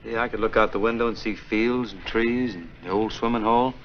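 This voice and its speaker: An actor playing an easygoing, wry parish priest in a 1940s film, talking in a relaxed, joking way. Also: male voice, fairly low pitch, brisk, background noise, close to an everyday voice. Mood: musing. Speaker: priest, new pastor. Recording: dull lo-fi 1940s film soundtrack.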